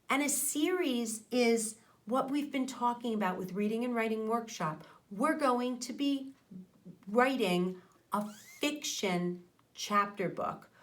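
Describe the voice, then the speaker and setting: A middle-aged woman, an elementary teacher reading aloud and talking directly to young children in an expressive way, close to a microphone indoors. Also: on the high side. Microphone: microphone on a boom arm close to mouth.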